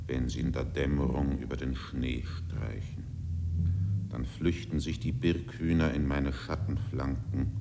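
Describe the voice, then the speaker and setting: Abyss-deep, slow, ancient mountain. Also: male voice, creaky, exaggerated voice. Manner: solemn, measured, poetic. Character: ancient brooding mountain of Lapland, solemn and sleepless. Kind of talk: 1950s German radio drama monologue. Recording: 1950s radio recording, long reverb, music behind.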